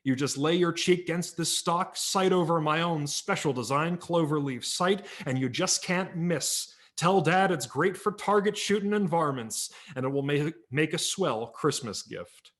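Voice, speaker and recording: A man doing a booming cowboy ad hero. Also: measured pace, hardly any pauses, fairly bright tone. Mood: folksy. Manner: booming, folksy salesman pitch. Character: hearty cowboy hero in a magazine ad.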